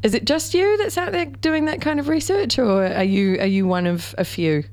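A woman hosting a radio interview, lively and bantering with her guest. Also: high voice, moderate pace. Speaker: host.

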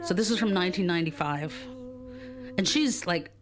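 Female radio guest, lower-pitched, casual explainer with slangy phrasing, music underneath. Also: lively intonation, a little fast. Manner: informal, slangy. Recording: music playing behind speech.

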